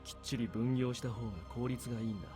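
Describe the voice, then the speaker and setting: A man voicing a calm, calculating military major in a visual novel, speaking with dry, understated authority. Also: medium pitch, rapid-fire, over music, lightly acted. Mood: matter-of-fact. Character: calm, calculating male military major giving covert orders.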